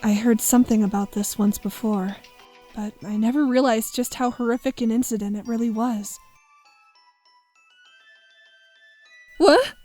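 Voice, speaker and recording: A woman's voice: on the high side, moderate pace, dark tone, faint music behind, natural voice. A woman voicing an earnest, anxious young schoolteacher with emotional defiance in a horror game dub. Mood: uneasy. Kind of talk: dramatic fan game dub voice acting.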